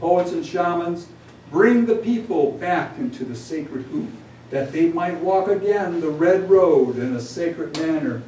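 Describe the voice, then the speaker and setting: A man's voice: a little high, animated, a little slow, warm tone. A man voicing an ancient, noble Lakota medicine man in an epic poem, solemn, commanding and incantatory, in a room. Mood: solemn, prophetic. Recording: echoey room, distant mic, background noise.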